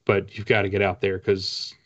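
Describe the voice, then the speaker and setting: Low-pitched man in casual, self-deprecating chat. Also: completely flat delivery, moderate pace. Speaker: guest author. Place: home room with bookshelves, video call. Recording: dull, lo-fi call audio.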